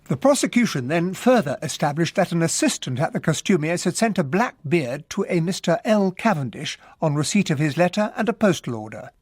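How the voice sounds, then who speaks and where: An actor playing an urbane English gentleman who narrates a radio drama in an expressive storytelling manner. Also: a little high, brisk, hardly any pauses, slightly rough, no echo, studio quality. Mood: wry. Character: English gentleman narrator recounting a murder trial.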